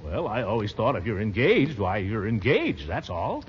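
An actor playing a pompous, lovesick middle-aged bachelor, blustering and sighing in 1940s radio comedy style. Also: male voice, fairly low pitch, huge pitch swings, normal speed. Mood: wounded. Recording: muffled, noisy 1940s radio recording.

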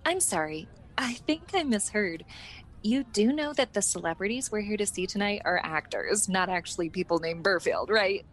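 A woman's voice: medium pitch, lively intonation, moderate pace, close to an everyday voice. A woman playing a chirpy, eager young red carpet reporter in a comedic radio sketch, polite and gushing. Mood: awkward, polite.

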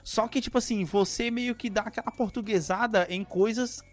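A man's voice: sits high, fairly even intonation, normal speed. A man co-hosting a casual podcast, rambling in slangy chat with lots of fillers. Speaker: podcast co-host. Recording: faint music behind.

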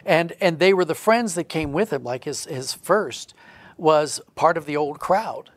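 A man's voice: fairly high pitch, expressive, unhurried, no room sound. A man giving earnest, reflective explanations as a guest in a conversational interview. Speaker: guest, audio drama writer.

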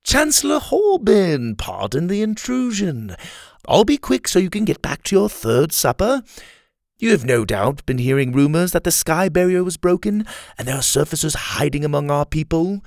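A man voicing a smug scheming male rebel leader who taunts in a high, theatrical tone. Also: very animated, moderate pace, gravelly, darkish tone, no echo, clean studio sound. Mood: gloating. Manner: gloating, theatrical, high mocking tone.

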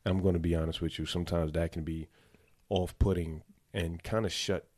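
A man's voice: deep voice, steady tone, normal speed, darkish tone. A man hosting a podcast, chatting bluntly and joking with his guest. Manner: laid-back, blunt and joking. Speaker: podcast host. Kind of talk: casual chat.

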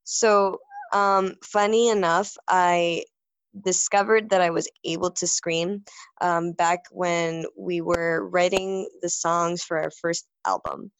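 Low-voiced young woman musician, dry sound. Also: fairly even intonation, slow pace, clean tone, no room sound, poor audio. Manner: rambling, many fillers and restarts. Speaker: band vocalist. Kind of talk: casual interview answer.

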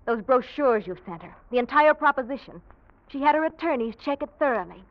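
A woman's voice: high voice, expressive, a little fast. An actress playing a cool, proud, defiant young woman with a pointed, mocking manner in 1940s radio drama. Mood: scornful, defiant. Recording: dull vintage radio recording.

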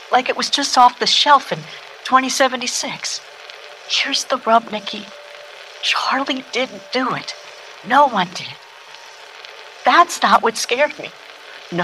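Noir lounge singer, bright voice, intimate n dramatic, radio play, faint noise on the tape. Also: female voice, on the high side, rough recording. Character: sultry, frightened female lounge singer confiding in a detective. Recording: recorded tape message with background noise.

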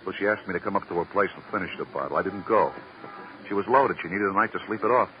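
Brief: male actor as nervy, defensive waiter, very low voice, slangy, muffled vintage radio recording. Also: quick pace, slight background noise, natural voice. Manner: insistent, repeats himself, slangy. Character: defensive, jittery waiter under police questioning. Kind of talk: 1950s radio crime drama acting.

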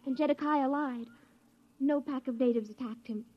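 A woman's voice: high voice, normal speed. An American woman playing a devoted, pleading young settler, the leader's daughter, in a 1950s radio drama. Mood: anxious, tender. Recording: muffled old radio recording.

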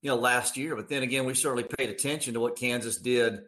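An American man with a bright, forward voice speaks as a sports announcer in a conversational interview. His pitch sits in the middle range, and he talks in folksy, emphatic phrases. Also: steady tone, fast. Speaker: radio play-by-play sports announcer.

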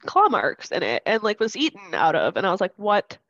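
A woman's voice: medium pitch, huge pitch swings, brisk, a bit bright, no echo, lo-fi sound. A congested woman co-hosting a podcast, talking casually with laughter and swearing. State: congested, stuffy nose. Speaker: podcast co-host.

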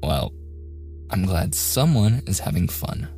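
A man playing a shy, awkward young man in a boyfriend ASMR roleplay, softly stammering and often slipping into whispers. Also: sits low, big pitch swings, slow, gravelly, faint music behind, heavily acted. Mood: joking, awkward. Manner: hesitant, stammering, self-deprecating joking.

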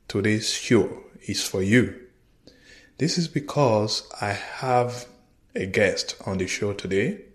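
A man hosting a podcast as a life coach, enthusiastic and earnest, with some hesitations. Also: fairly low pitch. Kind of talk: upbeat podcast interview. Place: lives in Houston. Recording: roomy echo.

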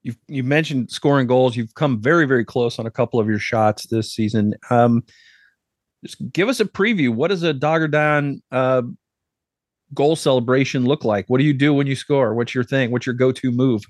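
A man's voice: mid-range voice, normal speed, no room sound, clean studio sound. A man hosting a podcast, interviewing a guest and chatting with his co-host in an upbeat, chatty way.

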